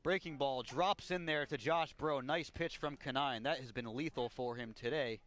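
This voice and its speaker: A man's voice: mid-range voice, moderate pace, a bit bright. An American man doing live radio play-by-play commentary as a baseball announcer. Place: ballpark radio broadcast.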